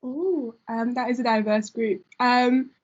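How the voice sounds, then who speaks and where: A woman's voice: on the high side, measured pace, darkish tone, slightly rough. A young woman hosting a casual group chat among students, talking chattily with many fillers. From Nigeria. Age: young adult. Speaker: student host. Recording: dull lo-fi audio.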